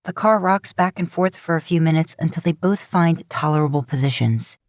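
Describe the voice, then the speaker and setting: A woman narrating a fan fiction audiobook in a steady storytelling manner. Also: low-pitched, moderate pace, dry recording, studio quality.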